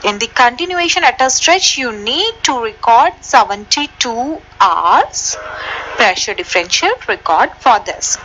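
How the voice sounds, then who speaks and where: A woman's voice: high voice, pushed and bright, highs cut off, a little hiss or hum. A woman giving a step-by-step technical lesson as a voice-over, talking straight to her listeners. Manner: addresses listeners directly, step by step. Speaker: instructor. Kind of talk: slide-based technical lesson. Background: background music.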